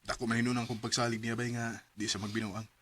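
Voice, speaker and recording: A man narrating and acting as the lead in a radio drama, earnest and gentle in manner. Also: mid-range voice, little pitch movement, quick pace, a little hiss or hum. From Cebu, Philippines.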